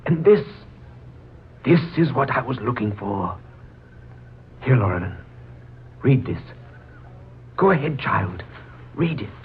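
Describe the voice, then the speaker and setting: A man's voice: mid-range voice, very animated, takes it slowly, pauses often. Bewildered man with an ancestor's spirit in him; 1940s radio drama, boxy lo-fi sound, faint hiss. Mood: solemn intensity. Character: bewildered man speaking as a long-dead ancestor, excited, haunted. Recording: muffled, hissy 1940s radio recording.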